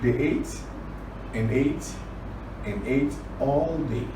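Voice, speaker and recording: A man from Liberia telling a children's story and teaching words, deliberate, repeating phrases for emphasis. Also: mid-range voice. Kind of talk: children's storytelling and word lesson. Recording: roomy, distant, clearly noisy recording.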